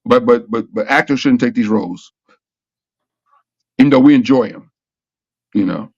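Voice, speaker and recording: A man's voice: medium pitch, moderate pace, creaky, a little mellow, no echo, highs cut off. A man hosting a casual panel talk show, arguing emphatically and repeating himself as he builds his point. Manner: repetitive, emphatic, argues with rhetorical questions.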